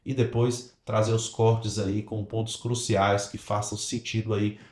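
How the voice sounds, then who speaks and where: Low-voiced Brazilian man hosting a podcast. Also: flat delivery, unhurried, a little mellow. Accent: Brazilian Portuguese. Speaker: podcast host. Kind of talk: podcast talk, addressing the audience directly.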